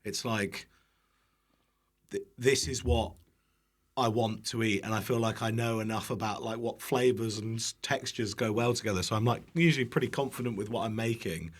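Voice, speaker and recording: A man's voice: lowish voice, fairly even intonation, normal speed. A British man telling casual anecdotes as a guest, in a self-deprecating way with some casual swearing. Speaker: guest, internet cooking creator.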